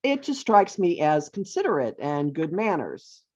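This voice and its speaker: A woman's voice: low voice, big pitch swings, a little slow, darkish tone. Mature woman reading aloud, slightly muffled audio. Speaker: author reading from own book. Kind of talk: expressive reading aloud of a humorous essay. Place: virtual author talk over video call. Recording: dull, lo-fi online call audio.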